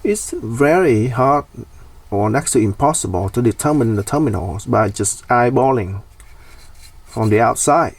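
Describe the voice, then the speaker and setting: A man's voice: mid-range voice, expressive, unhurried, breathy edge, dry recording, recorded from a distance. A man presenting a casual, hands-on DIY explainer.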